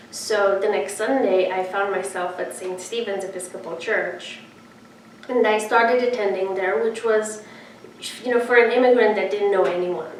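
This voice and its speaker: A woman in her thirties from Romania, introducing herself as a seminarian in an earnest, reflective way with frequent pauses. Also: medium pitch, distant mic, a little hiss or hum. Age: 30s. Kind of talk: personal introduction. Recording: echoey room, voice from off-mic.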